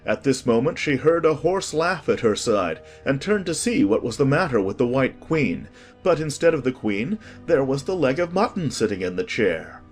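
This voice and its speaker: A man narrating a scripted storybook in an expressive, deliberate way. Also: mid-range voice. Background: music.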